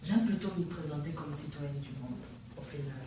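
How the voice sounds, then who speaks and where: This is a woman from the Congo, speaking as a guest in a relaxed interview. She answers thoughtfully, pausing on fillers as she looks for words. Her voice reaches a distant mic in a very echoey room, with clear noise underneath. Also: low-pitched. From Democratic Republic of the Congo. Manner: thoughtful, hesitant with many fillers. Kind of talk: conversational interview.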